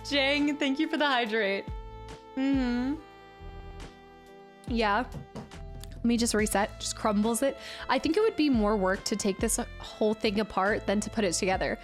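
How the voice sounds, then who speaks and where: Female streamer, slightly high voice with a touch of congestion, chatty and informal, replying to viewers over background music. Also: measured pace, long pauses. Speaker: livestream host. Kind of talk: casual live stream chat while building.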